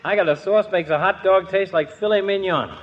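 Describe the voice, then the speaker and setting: Brash, highish-voiced small-town guy. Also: fairly quick. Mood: cocky. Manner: slangy, casual, cocky. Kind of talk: 1940s radio comedy acting. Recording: muffled, hissy 1940s radio recording.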